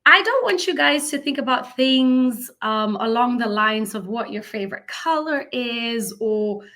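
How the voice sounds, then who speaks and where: A woman's voice: on the high side, animated, a little slow, bright, forceful tone. A woman from South Africa giving an upbeat, encouraging lesson to students on personal branding, with emphatic exclamations. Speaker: presenter. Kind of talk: instructional talk to students.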